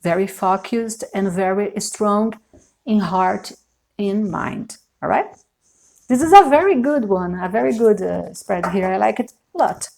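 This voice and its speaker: A woman from Brazil with a Brazilian Portuguese accent, giving a tarot reading in English, her second language, at a slow and deliberate pace, often pausing to search for words and repeating phrases. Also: medium pitch, animated, vocal fry, darkish tone. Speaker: tarot reader. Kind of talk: unscripted tarot reading to camera.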